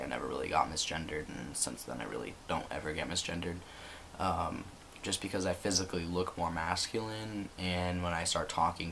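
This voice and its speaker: Low-voiced young man, rambling vlog. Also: slight background noise. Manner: relaxed, rambling, many ums and likes. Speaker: vlogger. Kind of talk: casual vlog update. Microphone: built-in computer camera mic.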